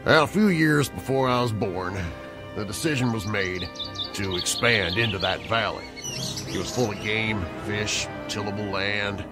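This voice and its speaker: An actor playing a gruff, bitter older villager telling stories in a folksy drawl in a sci-fi audio drama. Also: male voice, on the low side, a little slow, over loud music. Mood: folksy, rambling. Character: gruff, garrulous older male alien villager, bitter and hard.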